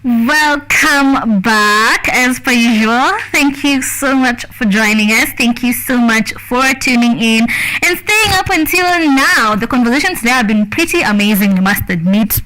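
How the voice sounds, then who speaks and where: A woman's voice: fairly high pitch, animated, measured pace, few pauses, bright, forceful tone, slight background noise, rough recording. A Kenyan woman hosting a morning show, upbeat and encouraging as she interviews a guest. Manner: upbeat, encouraging, frequent mm-hmm. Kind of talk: studio interview.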